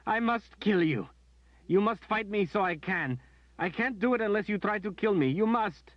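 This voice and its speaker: A man's voice: sits high, animated, takes it slowly, dry recording, voice pushed up, exaggerated voice. A man voicing an anguished young woman warrior, sobbing and pleading in a raised voice. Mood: desperate pleading. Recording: dull dry recording.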